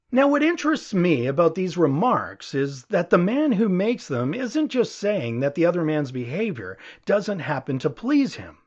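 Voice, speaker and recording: A dull, lo-fi recording of a male audiobook narrator. His voice sits high for a man and moves in animated, expressive contours as he deliberately reads out a reasoned essay.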